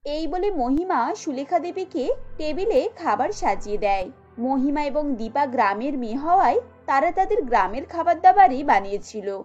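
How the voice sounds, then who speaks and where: A woman's voice: high-pitched, lively intonation, moderate pace, darkish tone. A woman giving scripted narration for a cartoon story. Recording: dull lo-fi audio with music bed.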